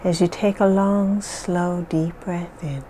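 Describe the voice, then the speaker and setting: A woman leading a guided hypnosis session in a slow, soothing, measured way with long pauses. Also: fairly low pitch, steady tone, warm tone, slight background noise.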